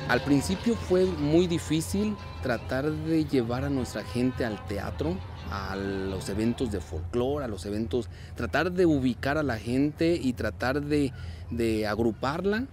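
A man in his thirties from Mexico talks about his dance troupe in an earnest, measured way, often pausing on fillers and restarting his sentences. His voice sits at a middle pitch for a man. Lively festival music plays clearly behind him at an outdoor street parade. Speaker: dance and theater group director. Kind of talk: interview.

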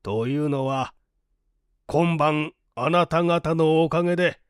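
A man voicing a genial, dignified older gentleman with a measured, courteous manner in a story reading. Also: a little high, smooth, no echo, clean studio sound. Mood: warm, grateful.

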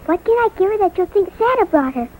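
A woman's voice: very high-pitched, expressive, fast, darkish tone, rough recording. A woman playing an earnest, childlike young girl in a 1950s radio drama. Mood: earnest, confiding. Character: earnest young girl confiding in a grown-up friend. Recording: vintage 1950s radio recording.

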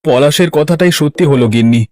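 A man's voice: a little high, a little fast, warm tone, no room sound, studio quality, close to an everyday voice. A man voicing an anxious, lamenting poor village farmer in a cartoon, plaintive and exclamatory. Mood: worried lament. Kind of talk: Bengali cartoon voice acting.

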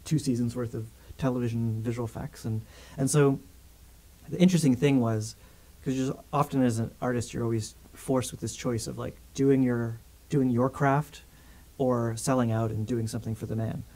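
A man's voice: mid-range voice, moderate pace, rough recording. A man in his thirties from Canada, a filmmaker guest chatting in an interview in a small studio, talking animatedly on a condenser mic. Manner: animated, gestures a lot with hands while talking. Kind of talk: casual interview chat. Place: small studio with grey backdrop. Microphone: studio condenser mic on stand.

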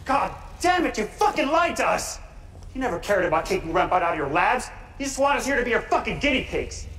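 A woman's voice: on the high side, very animated, a little fast, fairly bright tone, a little hiss or hum. An actress playing a cocky, foul-mouthed young woman hacker in a video game, taunting and sarcastic. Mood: angry, alarmed. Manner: brash, sarcastic, swearing. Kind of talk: video game cutscene acting.

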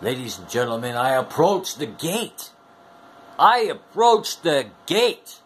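A man's voice: medium pitch, big pitch swings, a little hiss or hum. A man in his forties delivering a theatrical wrestling-promo monologue to camera as a bombastic showman, drawing out his words. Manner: bombastic showman, drawn-out words, sudden whoops. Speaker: wrestling fan video host. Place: small room at home.

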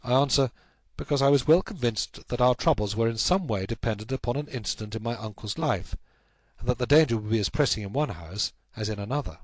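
Man reading as anxious young gentleman, lively intonation. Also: medium pitch, dry recording, lightly acted. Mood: grave, uneasy. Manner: earnest, measured, formal recounting. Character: anxious, helpless young gentleman client telling his troubles. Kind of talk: audiobook character acting.

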